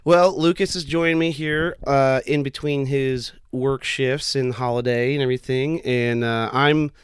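A man hosting a casual podcast interview, chatty and friendly with a slightly raspy, tired voice. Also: mid-range voice, fairly even intonation, measured pace, dry recording. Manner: friendly, chatty, laughs often. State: slightly raspy, losing his voice. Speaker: podcast host.

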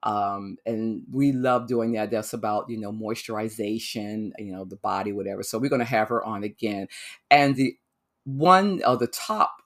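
A man with a gravelly, creaky voice talks alone on his podcast. He reflects in a loose, rambling way, with fillers and restarts as he searches for words. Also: on the low side, moderate pace. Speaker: podcast host. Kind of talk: solo podcast monologue.

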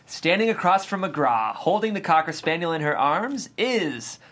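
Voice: mid-range voice, very animated, moderate pace, fairly bright tone. Narrator, scripted stage directions, table read.

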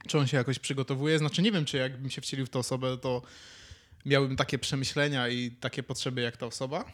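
A man's voice: mid-range voice, flat delivery, fast talker, a bit bright. A man co-hosting a podcast, chatting casually and musing aloud as he searches for words. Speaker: podcast co-host. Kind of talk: casual chat, musing and telling anecdotes.

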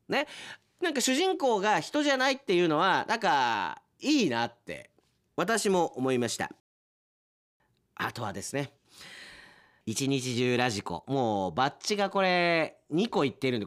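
A man hosting chatty radio after-show talk, reading out submissions with playful asides. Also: on the high side. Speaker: radio host and announcer.

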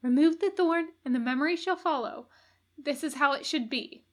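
A woman's voice: sits high, moderate pace, voice pushed up, lightly acted. A woman voicing a heartbroken male angel who scolds himself resolutely in an audiobook reading. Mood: resigned.

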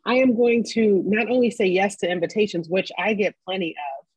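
Husky middle-aged woman, blunt and emphatic, dull dry lo-fi podcast sound. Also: medium pitch, fairly quick, darkish tone, no echo. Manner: candid and emphatic, swears casually. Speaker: podcast co-host. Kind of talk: casual chat.

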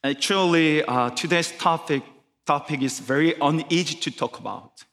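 A man's voice: a little high, normal speed, fairly bright tone, in a large hall. A man whose first language is Korean, preaching a sermon in careful English with a Korean accent, often restarting phrases. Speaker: guest preacher. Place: church sanctuary.

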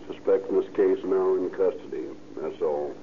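A man playing a businesslike police dispatcher reading a clipped, official 1930s radio bulletin in a monotone. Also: deep voice, moderate pace. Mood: flat, official. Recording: noisy, tinny police radio transmission.

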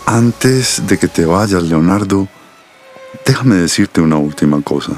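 A middle-aged Colombian doctor, a protective father with a very deep voice. He speaks in a measured, confiding and serious way, as in a radio soap, over faint music. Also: expressive. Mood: tender, solemn. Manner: measured, solemn, articulate. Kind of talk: radio soap opera acting.

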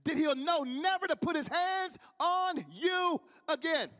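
A man's voice: very high-pitched, measured pace, pushed and bright. A man with a Midwestern accent preaching a sermon with fervent, repetitive cadence. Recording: long reverb of a large hall.

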